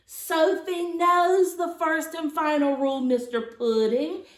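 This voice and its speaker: A woman's voice: sits high, steady tone, slow pace, voice pushed up. A woman voicing a grand, fond storybook aunt, theatrical and assured. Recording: roomy, echoey sound.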